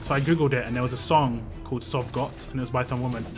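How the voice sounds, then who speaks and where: Male game streamer, casual rambling chat, mid-pitched voice, clear game music underneath. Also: steady tone, fast. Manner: rambling, chatty, answers chat with laughs.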